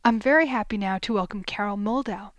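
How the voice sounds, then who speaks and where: A woman hosting a radio interview, asking a poet gently curious questions. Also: medium pitch, fairly quick, no room sound, studio quality. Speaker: radio host. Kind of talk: interviewing a guest.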